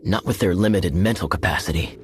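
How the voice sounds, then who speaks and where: A man playing a suave, self-assured, coolly amused knight in a video game, with a wry, smooth-talking manner. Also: sits low, a little fast, a little hiss or hum, natural voice. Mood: cool, confident.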